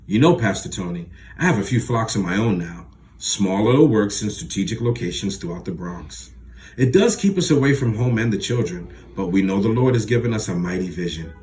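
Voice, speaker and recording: A man's voice: fairly low pitch, animated, darkish tone, a little hiss or hum, lightly acted. A man doing a gushing, slick-talking preacher. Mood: eager. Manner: gushing, fast and pushy. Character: eager breathless middle-aged male preacher, slick talker.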